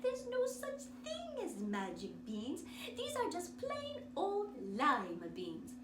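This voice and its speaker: A sweet old woman from a storybook, acted out by a woman reader, gentle and quietly knowing. She muses slowly, with a lilting delivery, in a roomy recording. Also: extremely high pitch, huge pitch swings, pitched above the performer's own voice, exaggerated voice. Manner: sweet, musing, repeats words and hums. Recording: roomy, distant mic, noisy background.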